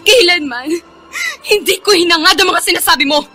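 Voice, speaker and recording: A woman's voice: extremely high pitch, animated, a little fast, pushed and bright, faint music behind, heavily acted. A Filipino actress playing a meek, kind-hearted, pleading young woman in a radio melodrama. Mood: tearful protest.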